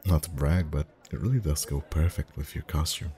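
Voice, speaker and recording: A man playing a friendly, flirty festival face painter in an ASMR roleplay, chatting in an easygoing, gently teasing way. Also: extremely low pitch, fairly quick, crowd noise. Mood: warm, pleased. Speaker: voice actor in an audio roleplay. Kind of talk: ASMR roleplay, one-sided intimate conversation.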